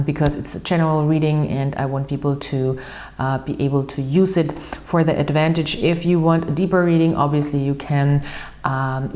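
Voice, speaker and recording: Low-voiced woman. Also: a little mellow, a little hiss or hum. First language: German. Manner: chatty and earnest, restarts often, repeats words for emphasis. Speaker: tarot reader and channel host. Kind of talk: casual tarot reading while shuffling cards.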